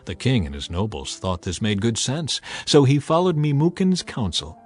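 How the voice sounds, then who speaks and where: A man reading scripture as a dramatic audio narration, in a measured, solemn storytelling manner. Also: medium pitch, huge pitch swings, darkish tone. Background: background music.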